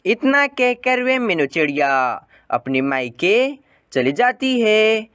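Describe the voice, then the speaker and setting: Slow, clear storyteller. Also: medium pitch, very animated, fairly bright tone, no echo. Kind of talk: scripted narration of a children's bird fable.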